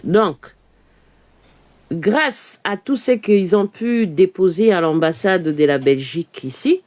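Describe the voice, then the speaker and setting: A woman's voice: sits low, a little mellow. Woman, live outdoor presenter; emphatic, rhetorical, filler-heavy. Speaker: online news presenter. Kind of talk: live commentary to camera. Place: outdoors in front of a church.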